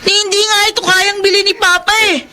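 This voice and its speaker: A woman playing a spoiled, sharp-tongued schoolgirl daughter in a Filipino radio drama. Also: extremely high pitch, level tone, fairly quick, heavy background noise. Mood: angry, scolding.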